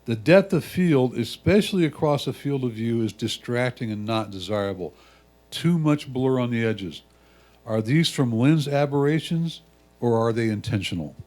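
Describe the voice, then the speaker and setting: A man reading written critique aloud in a measured, deliberate way. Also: medium pitch, animated, a little mellow. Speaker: reader of judges' comments.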